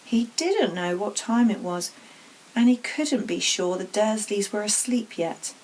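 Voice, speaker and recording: A woman's voice: medium pitch, lively intonation, unhurried, a little mellow, slight background noise, rough recording. A woman narrating a children's storybook aloud as a teacher would to pupils.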